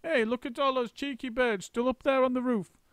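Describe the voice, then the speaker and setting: A man voicing a spiteful, hysterical nasty old woman in a shrill screaming falsetto. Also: fairly even intonation, moderate pace, fairly bright tone, clear, resonant tone, dry recording. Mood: gleeful.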